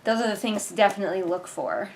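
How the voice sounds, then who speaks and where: A woman's voice: mid-range voice, quick pace, heavy background noise. A woman hosting a casual read-along, reviewing a book with exasperated, sarcastic commentary. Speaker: book reviewer and video host.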